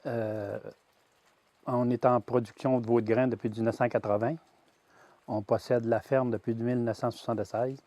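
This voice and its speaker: A Québécois man in his sixties tells his story in a plain, middle-pitched voice, halting now and then on fillers and false starts. The sound is dry and close, with no room echo. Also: level tone, dark tone. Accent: Québécois French. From Quebec. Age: 60s. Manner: hesitant, many "euh" fillers and restarts. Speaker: grain-fed veal farmer. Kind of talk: personal testimony.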